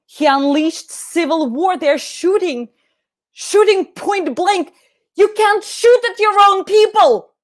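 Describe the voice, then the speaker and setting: A woman's voice: high voice, steady tone, slow. A woman acting a horrified, impassioned witness, anguished and declamatory as she appeals for help. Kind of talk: dramatic acting.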